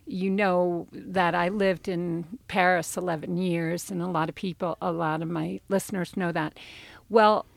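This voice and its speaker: Slow, gravelly-voiced woman host. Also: lowish voice, level tone, dry recording. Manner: unhurried, probing questions. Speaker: interview host. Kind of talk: interview hosting.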